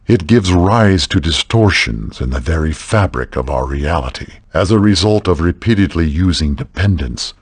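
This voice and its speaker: Very deep, husky, solemn elder lord. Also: male voice, lively intonation, normal speed, hardly any pauses, no echo. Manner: solemn, formal exposition. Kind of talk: fantasy game voice acting.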